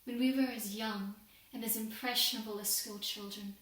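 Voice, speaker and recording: A woman's voice: medium pitch, steady tone, a bit bright, natural voice. A young woman playing a guilt-ridden, devout working woman of the industrial era in a solemn, formal confessional monologue. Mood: solemn, penitent. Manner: solemn, deliberate, formal oratory. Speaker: student performer. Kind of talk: dramatic monologue, confessional prayer. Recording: very echoey room, distant microphone.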